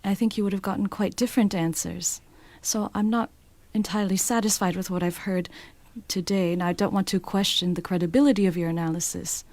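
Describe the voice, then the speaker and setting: A woman interpreting a meeting speech simultaneously, relaying it at a measured, steady pace. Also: medium pitch.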